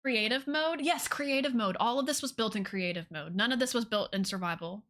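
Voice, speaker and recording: A woman with a fairly high, very bright voice hosts a casual game livestream. She talks informally and gushes with enthusiasm, often repeating phrases and addressing her viewers as y'all. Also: brisk. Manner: gushing, enthusiastic.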